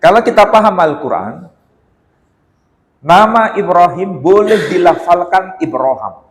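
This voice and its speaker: An Indonesian man giving a religious lecture in a mosque, animated and teasing, with rhetorical questions. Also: high-pitched. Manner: chants Quran verses in a slow melodic recitation. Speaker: Islamic lecturer. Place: mosque hall. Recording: echoey mosque hall.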